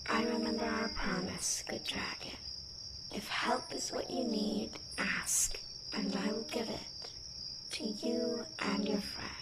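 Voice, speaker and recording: A small forest spirit, played by a woman, speaks in a light, breathy, measured voice with feisty loyalty. She sounds set back from the mic in strong echo. Loud crickets and insects fill this fantasy roleplay track. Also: on the high side, fairly even intonation. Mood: earnest, feisty. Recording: distant, echoing, noisy nature-ambience track.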